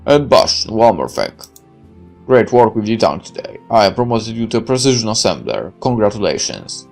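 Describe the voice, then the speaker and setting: A man voicing a stern, commanding factory master in a visual novel, measured, formal and authoritative. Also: fairly low pitch, very animated, creaky, voice pushed down. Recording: music under the voice.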